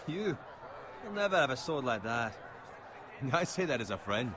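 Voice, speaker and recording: An actor playing a cocky, teasing young soldier in a medieval fantasy game, speaking bluntly and mockingly. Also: male voice, mid-range voice, sing-song, normal speed, a crowd in the background, natural voice. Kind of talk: video game fantasy acting.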